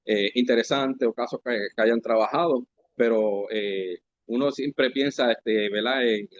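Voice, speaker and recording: Chatty man hosting a podcast. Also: medium pitch. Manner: conversational, halting, full of fillers and restarts. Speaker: podcast host. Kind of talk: casual interview hosting. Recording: dry, slightly dull podcast audio.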